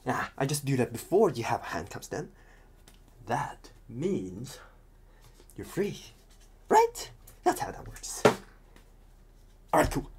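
A man playing a talkative, restless psychopath convict in an ASMR roleplay, protective and scheming, with halting, muttered asides. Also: mid-range voice, very animated, a little mellow, a little hiss or hum. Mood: scheming, distracted.

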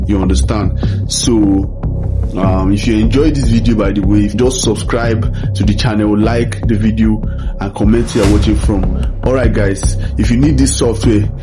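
A man presenting a casual software tutorial, often checking in with the listener with little tag questions. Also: lowish voice. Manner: frequent "okay", "right", "you understand" check-ins. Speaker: tutorial host. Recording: music behind the voice. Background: background music.

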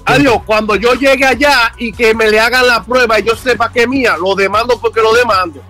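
A man's voice: very high-pitched, fairly even intonation, fairly quick, sharp tone, faint music behind. A young man, indignant and insistent in a heated phone conversation.

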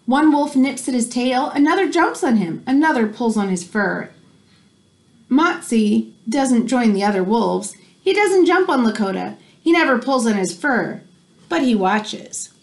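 A woman in her thirties reads a picture book aloud to children in an expressive, teacherly way, pausing to explain things. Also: fairly high pitch. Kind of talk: read-aloud storytelling with explanatory asides for children. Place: small room indoors at home. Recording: home recording with background noise.

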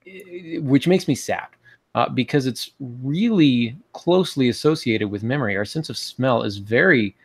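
A man in his forties with an American accent talks through writing advice on a podcast. His medium-pitched voice has plenty of gravelly fry. He speaks informally and a little hesitantly, in a dry, close home-office sound. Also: no echo. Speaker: podcast co-host teaching fiction writing. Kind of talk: informal instructional podcast talk. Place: home office, on a video call.

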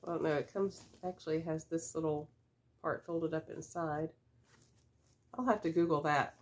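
A woman's voice: deep voice, steady tone, normal speed. A woman in her fifties with a stuffy head cold, chatting casually and unhurriedly as she unboxes items, with small laughs and fillers. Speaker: reseller vlogger. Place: small room with shelves of boxes, indoors. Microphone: camera at desk distance.

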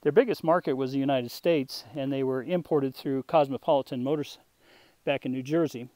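A man presenting a restored vintage motorcycle for sale, talking in an unhurried way with a touch of humor while the camera circles the bike outdoors. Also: mid-range voice, fairly even intonation, darkish tone, dry recording. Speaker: classic motorcycle dealer. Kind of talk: off-camera sales walkthrough.

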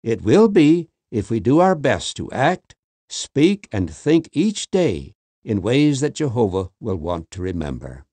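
Man, mellow tone, lively intonation, dry studio sound, scripted article reading. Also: medium pitch, unhurried, breathy edge, no room sound. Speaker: magazine article reader.

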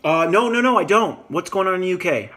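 A man's voice: sits high, animated, fast, sharp tone. A man making a casual, friendly phone call, talking emphatically and repeating his phrases. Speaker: caller.

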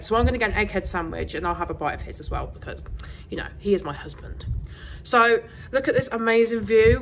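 A woman with a croaky voice from a cold, chatting casually and rambling through a travel vlog with frequent ums. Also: mid-range voice, a bit bright, slight background noise. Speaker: travel vlogger.

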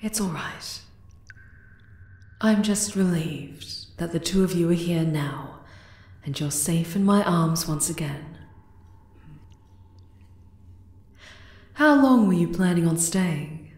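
A woman voicing a regal, possessive yet tender spider queen in an ASMR roleplay, soft and deliberate in manner. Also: lowish voice, animated. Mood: relieved. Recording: roomy, echoing cave-like reverb.

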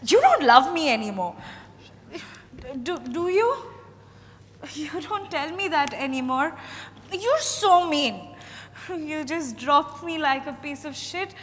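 A woman's voice: sits high, sing-song, takes it slowly, full character voice. An Indian-accented woman playing a troubled, idealistic, easily wounded daughter in a staged drama reading. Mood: hurt, accusing. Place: large hotel ballroom stage. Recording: reverberant hall, noisy room ambience.

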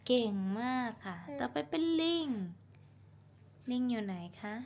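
A woman's voice: a little high, expressive, unhurried, creaky, a little mellow. A woman talking to her young children as their mother, teaching simply with repeated words and encouraging praise. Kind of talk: simple teaching talk to toddlers.

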